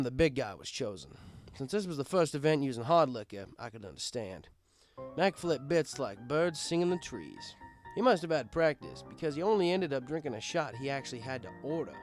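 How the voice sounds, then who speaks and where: Guy reading a story out loud, mid pitched voice, dramatic emphasis, music playing behind. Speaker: audiobook reader. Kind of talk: scripted first-person story narration.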